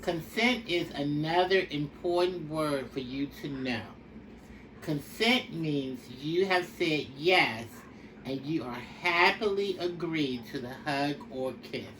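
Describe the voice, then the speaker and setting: Female storybook reader, instructive and very measured, slightly noisy recording. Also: low voice, fairly bright tone. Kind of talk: read-aloud of a children's picture book. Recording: distant mic with faint background noise.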